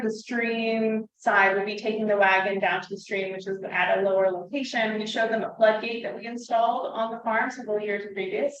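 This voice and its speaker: A woman's voice: medium pitch, a bit bright, recorded from a distance. A woman steadily recounts a student field trip, leaning on frequent fillers as she goes. She sits back from the mic in an echoey room, and the sound is dull and muffled. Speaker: presenter. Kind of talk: conference presentation.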